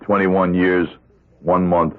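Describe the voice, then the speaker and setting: An actor playing a bitter, hopeless fifty-year-old convict in a radio drama, with terse, deadened delivery. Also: male voice, low-pitched, little pitch movement, slow pace. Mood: bitter, resigned. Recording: muffled, tinny old radio broadcast.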